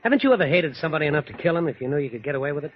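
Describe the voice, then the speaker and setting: A man's voice: fairly high pitch, animated, rapid-fire, lightly acted. An actor playing a wry, cynical young newspaperman in a 1940s radio drama. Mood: cynical, probing. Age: young adult. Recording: dull, noisy 1940s radio recording.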